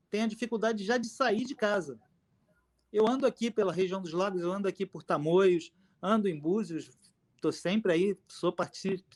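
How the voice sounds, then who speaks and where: A middle-aged man from Minas Gerais with a mineiro accent, speaking earnestly and at a measured pace at a forum over a video call, with frequent fillers. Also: sits high. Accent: Brazilian Portuguese, Minas Gerais. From Minas Gerais, Brazil. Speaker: forum panelist, mouth painter. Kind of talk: panel talk at a public forum. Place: indoor room with his paintings behind him.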